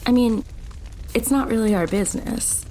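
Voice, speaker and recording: A woman's voice: fairly high pitch, normal speed, warm tone, slight background noise, close to an everyday voice, rough recording. A young woman voicing a frank, reassuring friend. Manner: direct, casually profane.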